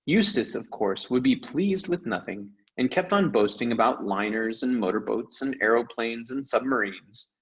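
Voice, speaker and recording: Animated man reading a storybook aloud. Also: mid-range voice, moderate pace, hardly any pauses. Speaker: read-aloud storyteller, teacher. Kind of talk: expressive audiobook narration of a children's novel.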